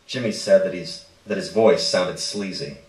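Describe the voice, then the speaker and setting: A man's voice: sits low, normal speed. A man reading a short story aloud as narration at a live stage reading. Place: indoor stage reading in a roomy space. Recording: echoey room, distant microphone.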